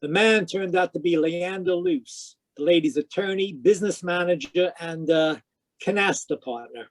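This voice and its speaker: An actor playing a hard-boiled private detective in old-time radio style, wry, clipped and matter-of-fact. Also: male voice, high voice, big pitch swings, moderate pace, creaky, heavily acted. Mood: wry narration. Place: home, live video call performance.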